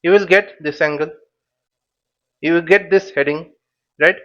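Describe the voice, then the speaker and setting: A man giving an informal tutorial in a halting, step-by-step way, repeating phrases and checking with short questions. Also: fairly high pitch, fairly bright tone, dull audio. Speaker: flight simulation instructor and presenter.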